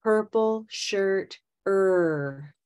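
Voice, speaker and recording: A middle-aged woman teaching English pronunciation on a video call, modeling words slowly and prompting learners. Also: medium pitch, darkish tone. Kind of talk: slow, clear pronunciation coaching. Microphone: webcam on a video call.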